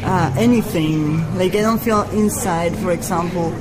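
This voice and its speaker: Spanish-accented woman over clear background music. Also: on the low side, moderate pace, rough recording. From Spain. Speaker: interview guest, comic artist. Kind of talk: conversational interview. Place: cafe.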